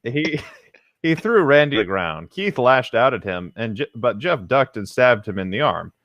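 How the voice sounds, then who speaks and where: A man's voice: mid-range voice, very animated, moderate pace, lo-fi sound, background noise. A man co-hosting a comedy podcast, reading a story aloud and adding sardonic, casual commentary. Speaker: podcast co-host reading horror fiction aloud.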